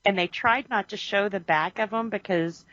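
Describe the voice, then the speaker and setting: A woman co-hosting a podcast, chatting casually about a movie, animated and quick to laugh. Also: mid-range voice, slight background noise. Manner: animated, laughs often, acts out quotes. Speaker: podcast co-host. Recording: muffled lo-fi audio.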